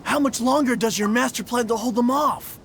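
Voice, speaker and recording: A man playing a thoughtful young soldier who asks questions in a measured way. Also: extremely high pitch, fairly bright tone, slight background noise. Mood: curious.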